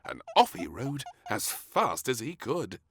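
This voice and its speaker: A man narrating a scripted children's story in an expressive, theatrical manner. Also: mid-range voice, measured pace, husky, no echo, clean studio sound.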